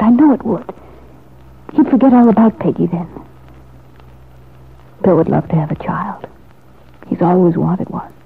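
An anxious but determined wife in a vintage radio soap. Her delivery is earnest and dramatic, with a slightly husky voice, and the old recording is thin and muffled. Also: mid-range voice. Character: determined, anxious married woman longing for a baby. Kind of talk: 1940s radio soap opera acting.

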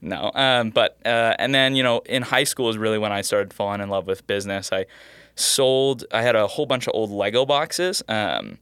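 A young man from Hawaii with a fairly low, smooth voice, recorded close with a dry sound. He tells upbeat anecdotes as a startup co-founder in a relaxed interview, a little jet-lagged. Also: normal speed, dry recording. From Oahu, Hawaii. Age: young adult. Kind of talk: casual interview answers.

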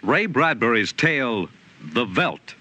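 A man's voice: mid-range voice, sing-song, measured pace. A man with an American accent announcing an old radio program. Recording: dull, noisy old radio recording.

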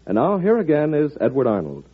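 A man's voice: fairly high pitch, wildly swooping pitch, a little fast, breathy edge. An American man announcing promotions on 1940s network radio, polished, formal and emphatic. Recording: tinny vintage radio broadcast.

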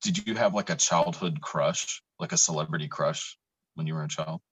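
Husky low-voiced male interviewer. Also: normal speed, a bit bright, dry recording. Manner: easygoing, lots of yeahs and backchannel. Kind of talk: casual interview.

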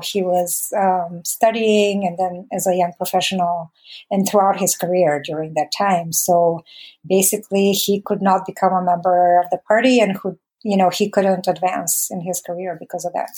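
A woman's voice: on the low side, steady tone, unhurried, darkish tone, low-quality recording. A woman, recalling her life conversationally as an interview guest, in a hesitant, reflective way. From Bulgaria. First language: Bulgarian. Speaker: interview guest, documentary filmmaker. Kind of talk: conversational personal recollection.

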